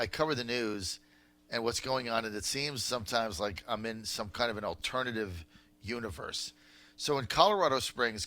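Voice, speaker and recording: An American man hosting political talk radio, with an incredulous, emphatic and halting way of talking. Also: mid-range voice, sharp tone. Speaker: radio talk show host.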